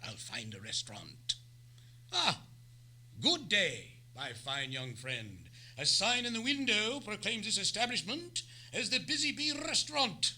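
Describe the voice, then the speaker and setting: An actor playing a pompous, grandiloquent down-at-heel Shakespearean thespian, declaiming theatrically in an old-time radio play. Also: male voice, sits high, huge pitch swings, measured pace, pushed and bright, full character voice. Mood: indignant. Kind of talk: old-time radio Western comedy acting.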